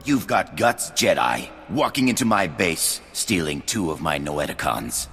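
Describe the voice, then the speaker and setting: A man's voice: low-pitched, big pitch swings, a little slow, a bit bright, husky, long reverb tail, exaggerated voice. An actor playing a desperate, bitter male gang boss who feels defeated, in a video game. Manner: bitter, resigned.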